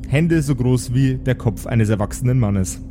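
A man hosting and narrating an improvised tabletop audio drama as game master, measured and descriptive. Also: mid-range voice, noisy background. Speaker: game master and podcast host.

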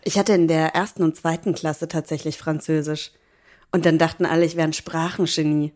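Low-voiced bilingual woman co-hosting a chat podcast. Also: flat delivery, darkish tone. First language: bilingual English and German. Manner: playful, quick to laugh. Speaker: podcast co-host. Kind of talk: casual chat.

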